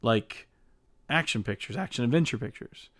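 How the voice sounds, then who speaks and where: A man's voice: medium pitch, lively intonation, normal speed, no room sound, clean studio sound. A man co-hosting a podcast, stammering and repeating words as he gives strong opinions on films. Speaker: podcast co-host. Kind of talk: casual film discussion.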